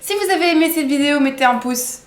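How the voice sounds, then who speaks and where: Young woman vlogger from France, slightly high voice, chatty and eager, lots of little hesitations, echoey home room. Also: fast. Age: 20s. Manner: chatty, hesitant fillers, enthusiastic. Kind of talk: casual introduction vlog.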